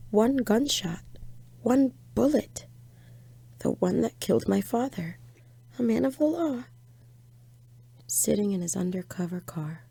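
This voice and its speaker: A woman's voice: mid-range voice, gravelly, dark tone, husky, no echo, heavily acted. A woman playing a haunted driver in a horror audio drama, confiding her eerie memories dramatically and directly to listeners. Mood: pained, haunted. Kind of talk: first-person horror audio drama monologue.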